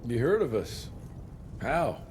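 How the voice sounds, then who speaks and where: An actor playing a terse, sarcastic monster hunter. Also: male voice, medium pitch, sing-song, slow pace, noisy background. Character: gruff sardonic male monster hunter.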